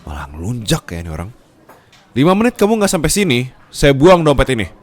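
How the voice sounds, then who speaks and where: A man's voice: medium pitch, huge pitch swings, brisk. A man playing a curt, sarcastic young office boss in an ASMR roleplay drama. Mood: irritated, sarcastic. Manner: haughty, scolding, insulting.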